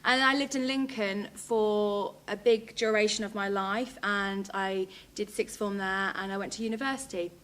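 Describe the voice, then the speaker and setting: A woman's voice: medium pitch, fairly even intonation, moderate pace, hardly any pauses, fairly bright tone, slight background noise. Earnest young English woman, roomy echo. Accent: English Midlands. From England. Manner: earnest, reflective, frequent ums. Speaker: founder of a drama ministry. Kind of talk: interview testimony.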